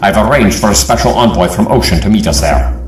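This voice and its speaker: A man's voice: on the low side, animated, quick pace, noisy background, close to an everyday voice. A man playing a commanding, shrewd leader of an adventure team in an audio drama, authoritative and dramatic. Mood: assured.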